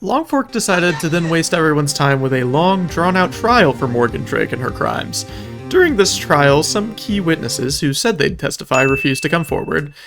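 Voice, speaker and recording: A man's voice: fairly high pitch, big pitch swings, normal speed, over music. A man narrating a scripted comedic recap in a wry, informal, mock-epic storytelling way. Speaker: video narrator.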